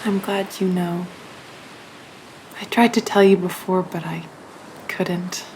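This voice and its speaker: An actress playing a gentle, frail, selfless young woman in an audio play, speaking haltingly. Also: lowish voice, steady tone. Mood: quiet, sorrowful. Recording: faint background hiss.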